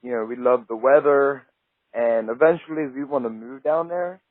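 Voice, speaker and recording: A man's voice: mid-range voice, normal speed, no room sound. Male radio host, friendly and enthusiastic, casual interviewer; narrow phone-like sound, dry. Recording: tinny phone-like line, dry.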